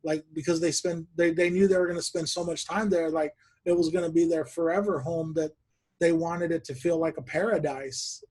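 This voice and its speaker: A man hosting a podcast over a video call, telling stories in a relaxed chat and laughing often. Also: fairly high pitch, a little fast, breathy edge. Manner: laughs often, animated storytelling. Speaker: podcast host. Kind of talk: casual chat.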